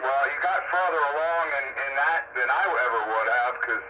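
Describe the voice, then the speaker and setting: A man's voice: medium pitch, moderate pace. A man chatting casually over two-way radio as an amateur operator, rambling along in colloquial language. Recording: dull, noisy two-way radio recording.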